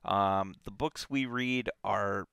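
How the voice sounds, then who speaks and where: A man co-hosting a live book discussion stream, relaxed and blunt, with many fillers. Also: fairly low pitch, slow, gravelly, smooth, no room sound, studio quality. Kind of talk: casual live-stream chat.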